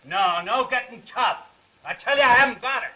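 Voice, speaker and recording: An actor playing a nervous, stalling pawnbroker in a 1930s crime film with a muffled vintage soundtrack. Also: male voice, very high-pitched, moderate pace, sharp tone, full character voice. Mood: frightened stalling. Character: evasive, nervous male pawnbroker, stalling and cowardly.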